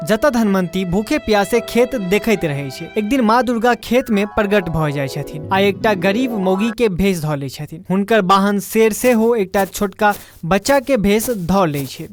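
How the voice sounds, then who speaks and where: A woman with a Maithili accent narrating a scripted folk story as the storyteller. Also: medium pitch.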